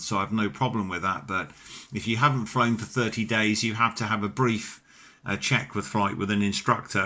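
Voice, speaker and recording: A man's voice: fairly low pitch, sharp tone. A middle-aged British man chatting casually as an amateur radio operator, courteous and talkative. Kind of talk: casual ham radio chat.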